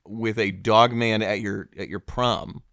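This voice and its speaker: Low, smooth-voiced man, hesitant podcast host. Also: steady tone, unhurried, fairly bright tone, dry recording, clean studio sound. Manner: hesitant, stammering, awkwardly earnest. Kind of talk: improvised mock-serious comedy interview.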